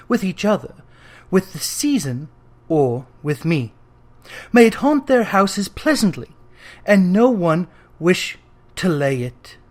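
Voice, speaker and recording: A narrator reading a classic literary story aloud in a dramatic, emphatic way, with deliberate phrasing. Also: mid-range voice. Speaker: audiobook reader.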